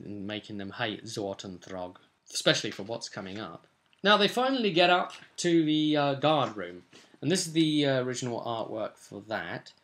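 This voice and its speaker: A man's voice: lowish voice, fairly bright tone. Casual, rambling young man. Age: 20s. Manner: informal, rambling, conversational. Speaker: tabletop role-playing vlogger. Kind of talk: casual unscripted game session recap. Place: small furnished room at home.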